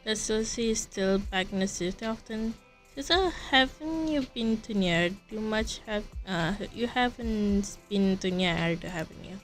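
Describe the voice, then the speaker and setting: A woman game streamer reading dialogue aloud during a playthrough, halting and correcting herself as she goes. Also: medium pitch, faint music behind, low-quality recording.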